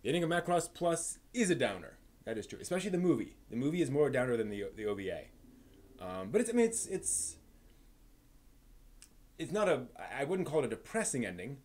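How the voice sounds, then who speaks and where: A man's voice: mid-range voice, big pitch swings, a little fast, darkish tone. A man hosting a live stream, chatting casually and off the cuff in a rambling way that trails off. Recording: noisy room background.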